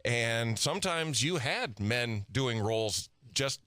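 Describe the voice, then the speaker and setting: A chatty, upbeat male radio host interviewing guests in his studio. His mid-pitched voice is bright and forward. It sounds dry and close on the mic. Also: lively intonation, slow pace, dry recording.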